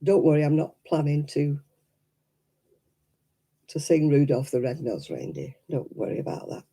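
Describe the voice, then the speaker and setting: A British woman speaks in a very dark, muffled-warm tone with a husky, croaky edge, hoarse from being unwell. She chats informally as a livestreamer would, with dry, teasing humour aimed at her viewers. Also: deep voice, big pitch swings, moderate pace. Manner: wry, teasing. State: hoarse after illness. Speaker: livestreaming crafts vlogger. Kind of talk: casual chat to live viewers.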